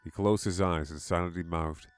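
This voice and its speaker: A man's voice: sits low, steady tone, moderate pace, smooth, no room sound, soft background music. A man reading a scripted horror story as its narrator.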